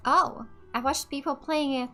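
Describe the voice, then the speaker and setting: A woman's voice: sits high, steady tone, normal speed, pushed and bright. A woman from Finland, a native Finnish speaker, doing let's play commentary and thinking aloud as she plays. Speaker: gamer. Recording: game music behind the voice.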